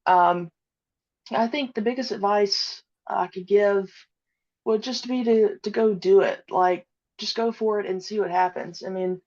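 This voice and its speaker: A woman's voice: mid-range voice, steady tone, measured pace, darkish tone, muffled recording. A woman speaking as a podcast guest in casual interview chat, modest and hesitant in her delivery. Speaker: podcast guest, audio drama creator.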